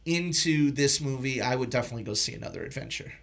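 A man's voice: medium pitch, fast talker, a bit bright. A man hosting a casual film discussion, talking informally and teasingly with plenty of swearing. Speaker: podcast host.

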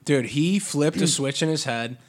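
A man co-hosting a casual podcast, sniffly from allergies, excitable and swearing freely. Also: on the high side, normal speed. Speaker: podcast co-host. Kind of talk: casual wrestling chat. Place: Chicago area.

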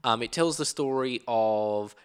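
A man's voice: medium pitch, a little slow, clear, resonant tone. A man co-hosting a podcast, chatting enthusiastically about films with many fillers and restarts. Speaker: podcast co-host. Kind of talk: casual film review chat.

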